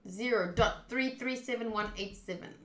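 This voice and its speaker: A woman with a bright, higher-pitched voice streams a game in a roomy, echoey space. She reads story text aloud and slips into casual, expressive commentary, swearing freely as she reacts. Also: normal speed, a little hiss or hum. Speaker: streamer playing a visual novel. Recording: roomy echo.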